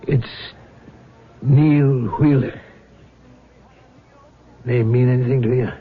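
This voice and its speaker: An actor playing a weary fugitive who once fronted for a gambling mob, husky and halting, confiding in 1970s radio drama style. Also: male voice, mid-range voice, fairly even intonation, a little hiss or hum, close to an everyday voice. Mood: wry, confessing. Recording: muffled lo-fi vintage radio broadcast.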